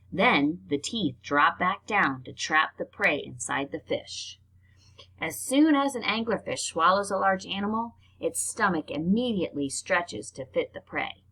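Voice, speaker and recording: A woman reads nonfiction aloud in an expressive, informal way, like reading a book to children. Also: low voice, breathy edge, rough recording. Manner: expressive, informal reactions between passages. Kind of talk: read-aloud narration with casual spoken asides.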